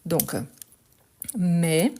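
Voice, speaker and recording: Woman teacher, lowish voice, talks slow, keeps asking ok?. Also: fairly even intonation, mellow. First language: Portuguese. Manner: patient, repeats words slowly, checks in with "ok?". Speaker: French teacher. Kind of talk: correcting a written exercise.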